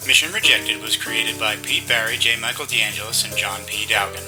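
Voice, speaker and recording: A man's voice: fairly low pitch, fairly quick, rough recording. A man reading scripted end credits as an announcer. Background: guitar music.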